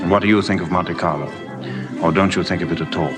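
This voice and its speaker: An actor playing an aloof, dryly ironic wealthy gentleman in golden age radio drama style, curt and commanding under formal politeness. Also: sits low, fast, close to an everyday voice. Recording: vintage radio broadcast with faint music.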